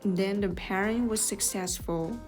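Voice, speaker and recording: A woman reading scripted step-by-step instructions as a tutorial narrator, in a measured, neutral manner. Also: mid-range voice. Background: music.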